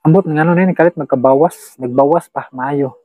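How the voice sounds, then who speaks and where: Halting Filipino man in a dry recording. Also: on the high side, dry recording, phone-quality sound. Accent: Filipino, Cebuano-speaking. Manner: halting, rambling, searching for words. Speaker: channel host. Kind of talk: unscripted casual chat to listeners.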